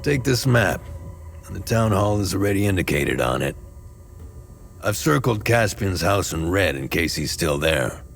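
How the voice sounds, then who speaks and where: Deep-voiced, haunted town mechanic. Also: male voice, lively intonation, measured pace, gravelly, full character voice. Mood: helpful, weary. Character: wary, haunted male town mechanic in a war-torn land. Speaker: town mechanic and scrapyard owner. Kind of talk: video game dramatic acting.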